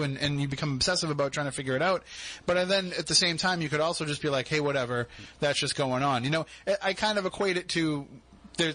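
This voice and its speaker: A man's voice: on the high side, sharp tone, poor audio. A male podcast host in a studio, asking long, reflective questions of a guest. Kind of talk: interviewing a guest.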